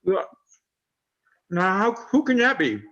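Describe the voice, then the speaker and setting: A simple, devoted mama's boy , acted by a man, has a fairly high voice that swings up and down. He stammers earnestly in folksy old time radio horror style, on a slightly dull, lo-fi recording. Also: wildly swooping pitch, slow pace, fairly bright tone, soft background music, heavily acted. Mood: puzzled. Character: naive, simple, devoted son pining for missing mother.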